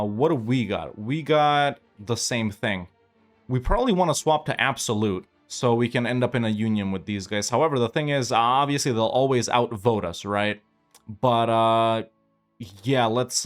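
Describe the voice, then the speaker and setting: Young male gaming commentator: easygoing, chatty, rambling, faint music underneath. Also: mid-range voice. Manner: relaxed, chatty, thinks aloud with many fillers; lively and upbeat when asking viewers to like and subscribe. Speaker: gaming YouTuber. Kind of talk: casual gameplay commentary.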